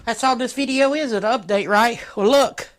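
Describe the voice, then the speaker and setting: A folksy vlogger talks through a hands-on motorcycle project in a mid-pitched voice. The delivery is casual and rambling, with loose colloquial grammar and a jokey streak, as an informal progress update from a shed workshop. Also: moderate pace.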